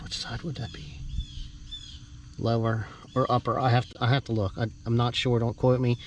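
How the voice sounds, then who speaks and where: A man giving a casual, off-the-cuff unboxing commentary, halting and thinking aloud as he handles parts with a camera in hand. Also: mid-range voice, fairly even intonation. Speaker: hobbyist vlogger doing a product unboxing. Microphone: handheld camera held while working, varying distance. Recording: noisy handheld camera audio, clear background noise.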